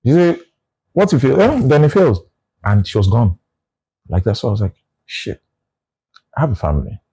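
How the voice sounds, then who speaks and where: A man's voice: mid-range voice, sing-song, moderate pace, mellow, husky, low-quality recording. A Nigerian man in his forties with a Nigerian English accent, speaking as an interview guest and reflecting aloud in casual conversation.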